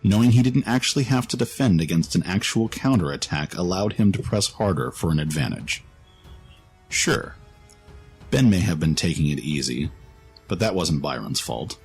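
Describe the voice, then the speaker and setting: Male narrator for scripted fantasy fiction; very low register, background music. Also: breathy edge.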